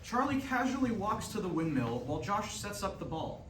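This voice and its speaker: A man's voice: high-pitched, very animated, moderate pace, a bit bright. A man reading scripted stage directions as narrator at a staged reading. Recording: echoey room, far mic, faint noise.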